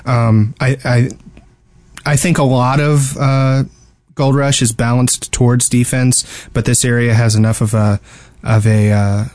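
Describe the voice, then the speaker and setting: A man's voice: medium pitch, fairly even intonation, measured pace, darkish tone, no echo. A man co-hosting a casual gaming discussion, talking in a hesitant, rambling way and often repeating words. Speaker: podcast co-host. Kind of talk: casual video game discussion.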